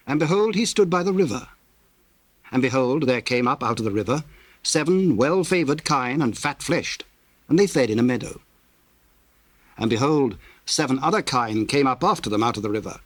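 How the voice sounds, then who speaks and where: A male narrator with a British accent reads scripture as solemn, measured storytelling. His voice sits at a medium pitch and is recorded close and dry, with formal archaic phrasing. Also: no room sound.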